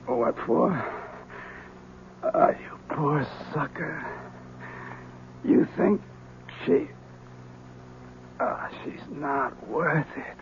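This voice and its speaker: A man's voice: fairly high pitch, expressive, vocal fry, full character voice. A bitter, armed man. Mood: bitter, dying. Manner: menacing, then bitter and slow. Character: armed, bitter man dying after a shooting. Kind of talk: 1970s radio drama acting. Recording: tinny old radio broadcast with faint noise.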